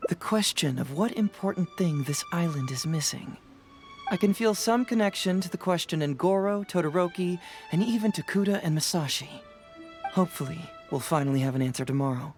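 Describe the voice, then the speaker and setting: An actor playing a young detective in a game, confident, teasing and coolly probing. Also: male voice, a little high, fairly quick, soft background music, natural voice. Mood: thoughtful.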